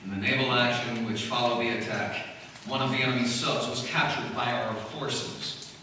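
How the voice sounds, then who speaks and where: A man's voice: medium pitch, fairly even intonation, moderate pace, sharp tone, lightly acted. An actor playing a grave, formal male government official in a live radio drama reading, heard from far off in an echoey hall. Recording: echoey hall, mic far off, faint noise.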